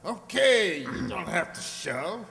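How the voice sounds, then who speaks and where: Man playing a jumpy, defensive hoodlum with a wildly swinging, sharp voice, radio mystery in a reverberant hall. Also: sits high, very animated, slow, creaky, heavily acted. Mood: surly, defensive. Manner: defensive, snapping. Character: surly, jumpy male crook cornered by police. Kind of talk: detective radio play acting.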